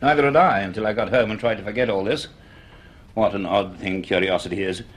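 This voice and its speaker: A man playing a grave, curious middle-aged university professor in a radio drama, speaking in a measured, formal, authoritative way. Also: on the low side, close to an everyday voice. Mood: intrigued, grave. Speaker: actor and narrator in a radio drama. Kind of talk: radio drama acting and first-person narration.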